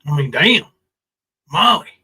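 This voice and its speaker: A middle-aged man with a somewhat high voice talks to his livestream audience. He is loose and informal, speaking off the cuff with rough, sweary language. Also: very animated, measured pace, sharp tone. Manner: informal, foul-mouthed. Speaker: livestream host. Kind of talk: casual livestream chat.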